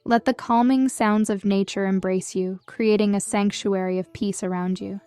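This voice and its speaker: Clear, soothing woman guiding meditation. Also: mid-range voice, fairly even intonation. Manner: soothing, measured, gentle instruction. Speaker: meditation guide. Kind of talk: scripted guided meditation narration. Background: background music.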